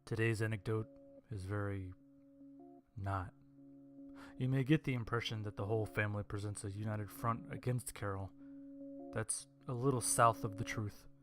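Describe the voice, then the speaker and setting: A man's voice: on the low side, fairly even intonation, moderate pace, a bit bright. A man reading a scripted story as its narrator. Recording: music behind the voice. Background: music.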